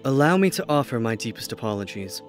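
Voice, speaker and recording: A man's voice: medium pitch, sing-song, fast, soft background music, close to an everyday voice. Man as a courteous, earnest god. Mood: deferential. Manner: formal, polite. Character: courteous, earnest male god, deferential peacemaker. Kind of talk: dramatized audio fiction.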